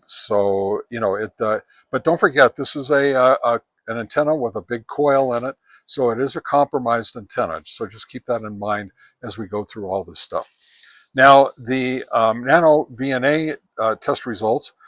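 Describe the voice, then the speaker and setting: A man reviews gear in an informal, unscripted way, at a middling pitch. He speaks haltingly, often pausing partway through a sentence before carrying on. The sound is close and dry, with very little room in it. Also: a bit bright, no room sound. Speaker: reviewer, presenter of radio antenna tests.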